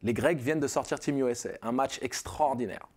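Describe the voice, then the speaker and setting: Man, basketball pundit, animated, studio debate. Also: medium pitch, a little fast.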